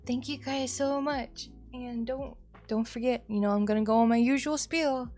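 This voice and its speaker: A woman live streamer chatting casually to viewers in an expressive, rambling, playful way, sounding a little sleepy. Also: a little high, moderate pace. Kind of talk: casual chatty livestream sign-off. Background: music.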